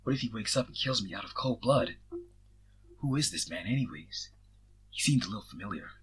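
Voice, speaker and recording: A man's voice: fairly low pitch, animated, a little fast, fairly bright tone, husky, exaggerated voice, rough recording. A man voicing an earnest, anxious and awkward young male mage in a fantasy story reading. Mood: worried.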